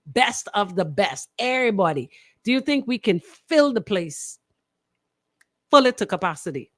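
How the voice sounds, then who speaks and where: A woman with a Bahamian accent hosting a casual radio talk show, animated, opinionated and quick to question. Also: medium pitch, dry recording, studio quality. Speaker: radio host.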